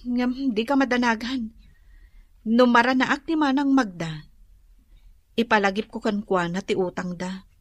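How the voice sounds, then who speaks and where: A patient, polite woman in a radio drama, with a high voice. She sounds mild and a touch hesitant, recorded close and dry. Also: measured pace, pauses often, no room sound. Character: mild, patient woman lender seeking repayment.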